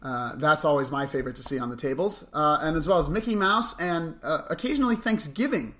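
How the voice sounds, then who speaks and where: A young man co-hosts a chatty podcast morning show. His voice is a bit high for a man, with a bright, crisp edge, and he delivers light items with mock seriousness. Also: fairly quick. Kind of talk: chatty news and mailbox segment.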